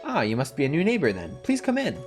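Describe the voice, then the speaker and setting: A woman's voice: low voice, huge pitch swings, fast talker, music in the background, pitched above the performer's own voice. A man voicing an overly cheerful, welcoming neighbor woman in a horror visual novel, with a gushing manner.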